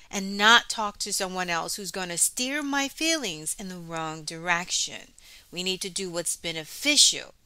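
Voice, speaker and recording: A woman's voice: medium pitch, bright, forceful tone, no echo. A woman reading a children's story and giving instructive asides straight to her young listeners. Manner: instructive, addresses listeners directly, checks for agreement.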